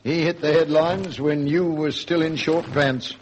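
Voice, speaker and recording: A man playing an older, avuncular British police sergeant in a vintage radio drama, with a gruff, colloquial storytelling manner. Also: fairly high pitch, steady tone, a little slow, highs cut off, lightly acted. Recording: noisy old radio recording.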